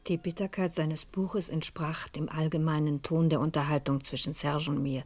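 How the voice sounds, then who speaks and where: An actress playing an experienced, inquisitive professor and amateur sleuth, pensive and breathy, in a German radio crime drama. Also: female voice, low voice, little pitch movement, moderate pace, a little mellow, no echo, soft background music. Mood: reflective.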